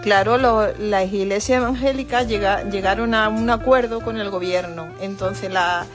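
A woman's voice: medium pitch, steady tone, moderate pace. A woman explaining things as a teacher in an informal interview. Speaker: religion teacher. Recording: music playing behind the voice. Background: background music.

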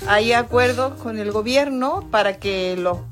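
A woman's voice: fairly high pitch, measured pace, faint music behind. A woman, a religion teacher, explaining things earnestly and steadily on a panel talk show.

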